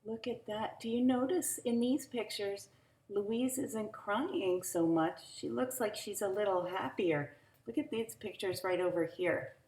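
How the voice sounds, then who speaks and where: Lady reading a kids book real slow, voice goes up and down a lot, echoey room. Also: mid-range voice. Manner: animated, teacherly, drawn-out phrasing. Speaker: storyteller reading a picture book to children. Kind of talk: expressive read-aloud with asides to young listeners. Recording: roomy home recording with echo.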